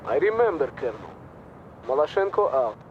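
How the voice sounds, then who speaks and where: An actor playing a brash, ruthless Soviet army captain with a Russian accent, impatient and defiant in a military video game. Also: male voice, fairly high pitch, big pitch swings, a little fast, highs cut off, a little hiss or hum. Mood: curt. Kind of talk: video game voice acting, radio calls. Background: machine guns, artillery and vehicles.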